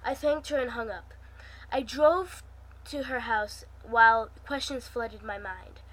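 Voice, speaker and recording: A woman's voice: on the high side, unhurried, fairly bright tone, rough recording. A woman hosting her own channel, chatting informally and reading a fan story aloud in an amateur, casual way.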